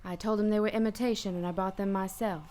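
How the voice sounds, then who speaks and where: A woman's voice: medium pitch, steady tone, fast talker, warm tone, slight background noise, close to an everyday voice. A woman playing a wistful, troubled married woman in a dramatic noir radio play. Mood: wistful confession.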